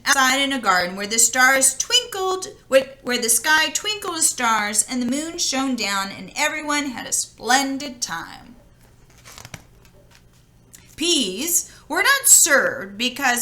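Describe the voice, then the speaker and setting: A woman reading a children's story aloud expressively, with drawn-out, dramatic emphasis. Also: a little high, bright, forceful tone. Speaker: storybook reader. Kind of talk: expressive children's read-aloud, with casual asides.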